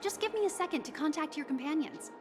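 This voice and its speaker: High-voiced, polite hotel receptionist. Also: female voice, animated, fast talker. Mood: courteous. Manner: formal, customer-service politeness. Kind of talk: scripted game voice acting. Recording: music behind, game stream.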